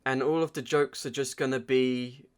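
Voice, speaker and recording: A man's voice: medium pitch, steady tone, moderate pace, clear, resonant tone. A man co-hosting a podcast, reviewing films in a casual, informal way as he thinks aloud. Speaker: podcast co-host. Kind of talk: casual film review chat.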